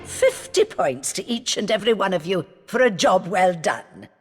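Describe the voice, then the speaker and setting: A proud, ceremonial school official in a video game. He declaims in a high, swooping voice that rings through long reverb, with loud music behind. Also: expressive, moderate pace. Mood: congratulatory. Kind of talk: dramatic video game acting.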